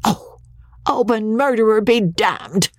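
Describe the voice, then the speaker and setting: A woman voicing a raging older riverboat showman who shouts in a squeaky falsetto. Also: expressive, takes it slowly, dry recording, studio quality. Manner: sputtering, shouting in fury.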